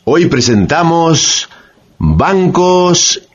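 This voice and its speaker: A man's voice: a little high, sing-song, extremely slow, muffled recording. A man presenting a scripted introduction to a radio play. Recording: music clearly under the voice.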